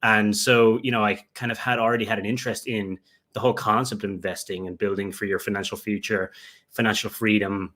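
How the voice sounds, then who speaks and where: A young man with an Irish accent talks through his answers in a lowish voice. He rambles and circles back, filling gaps with "you know" and "um" as he restarts his sentences. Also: flat delivery, a little fast, fairly bright tone. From Ireland. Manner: rambling, many fillers and restarts. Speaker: guest, Lego investor. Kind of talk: remote interview answers.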